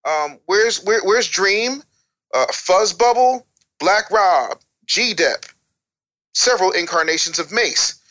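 A man's voice: sits high, lively intonation, takes it slowly, sharp tone, rough recording. A male interview guest explaining his opinions bluntly and wryly, with emphatic lists and rhetorical questions. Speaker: interview guest, author and radio producer.

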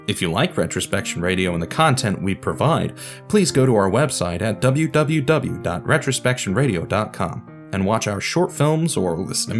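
Low-voiced man narrating with lively swings. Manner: wry, informal asides. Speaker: podcast host. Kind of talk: scripted narration on radio history.

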